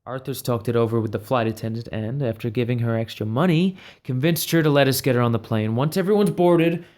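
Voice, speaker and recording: Guy playing calm reassuring crew leader in a secret job, mid pitch, fan audio drama. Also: a little fast, natural voice. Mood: matter-of-fact. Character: calm, reassuring male leader of a covert team. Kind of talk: amateur audio drama acting.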